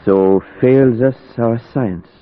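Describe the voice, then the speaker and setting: An actor playing a grave, learned older vampire hunter, speaking in solemn, measured foreign phrasing in 1940s radio horror style. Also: male voice, mid-range voice, natural voice. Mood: grave, ominous. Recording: muffled echoey 1940s radio recording, music.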